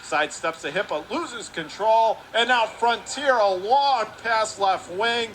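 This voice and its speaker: A man in a broadcast booth calling a hockey game play by play, with rapid, clipped calls that climb higher on shots and saves. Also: a bit bright, a little hiss or hum. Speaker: radio play-by-play announcer. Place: broadcast booth above an ice rink.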